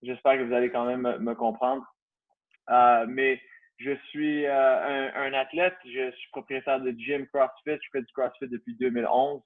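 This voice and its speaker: A man's voice: medium pitch, level tone, normal speed. A man in his thirties with a Canadian French accent, a guest in a casual interview, talking hesitantly with many restarts. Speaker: guest, CrossFit gym owner. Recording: tinny phone-like line.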